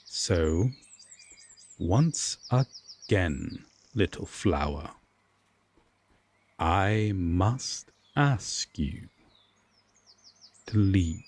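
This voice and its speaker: Haughty, reluctantly protective fae king played by a man: very slow, breathy, commanding delivery for fantasy audio drama. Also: low-pitched, expressive, a little mellow, exaggerated voice. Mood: weary, firm. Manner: deliberate, commanding, drawn-out pauses, condescending.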